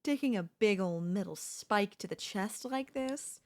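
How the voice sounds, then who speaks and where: A woman's voice: medium pitch, lively intonation. A woman voicing a chatty, teasing zombie in a comedic audio roleplay, talking casually and playfully straight to the listener. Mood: rattled, wry. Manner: casual, playful, taunting, slangy, drawn-out delivery. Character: chatty, teasing, cocky female zombie hunting a human survivor.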